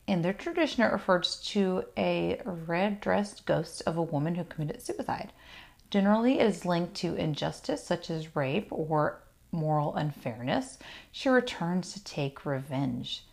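Lady podcaster, kinda low and gravelly, chatty with lots of ums and asides. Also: darkish tone. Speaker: podcast host. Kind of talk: casual solo podcast talk.

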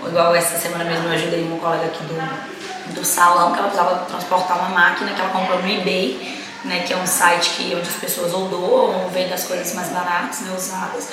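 A woman's voice: on the low side, level tone, quick pace, a crowd in the background. A woman with a Brazilian Portuguese accent chatting casually and informally as an interview guest. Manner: informal, peppered with conversational tags. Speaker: podcast guest, nail designer at a salon. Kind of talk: casual chat. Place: indoors, in a beauty salon. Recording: echoey, noisy room, distant from mic.

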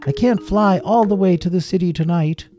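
Storyteller playing a male bird of prey, raised pitch, dignified, weary, grumbly, formal, storybook. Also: moderate pace, warm tone, faint music behind, natural voice. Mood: loud, informative.

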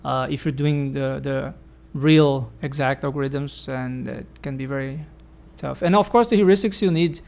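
A man's voice: mid-range voice, normal speed, creaky, few pauses, a little mellow. A man hosting a casual academic conversation, explaining his points carefully with frequent fillers. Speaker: host and academic supervisor.

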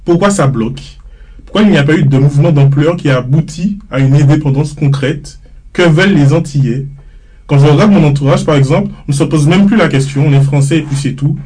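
A young man from Martinique hosting a student podcast, reading a measured scripted introduction and then putting questions to his guests. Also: on the high side, mellow, slightly rough. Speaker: podcast host. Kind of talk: read introduction and moderating.

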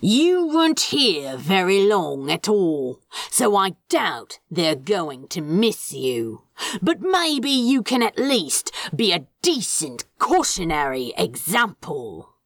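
Lady doing a nasty demon guy in an audiobook, scornful n threatening, slow drawn-out words, super dry sound. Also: lowish voice, wildly swooping pitch, no room sound, heavily acted. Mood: snapping contempt. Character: menacing male demon scolding his houseplants. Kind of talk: fan fiction audiobook character voice.

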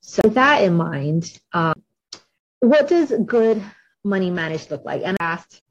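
A woman's voice: fairly low pitch, big pitch swings, unhurried. A woman hosting a podcast, chatty and bubbly, talking with plenty of fillers. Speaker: podcast host. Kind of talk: podcast interview. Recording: dull lo-fi audio.

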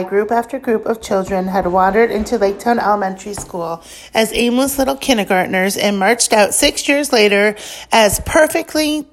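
A woman reading a children's book aloud as scripted narration, leaning deliberately on key words and lists. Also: fairly high pitch, low-quality recording.

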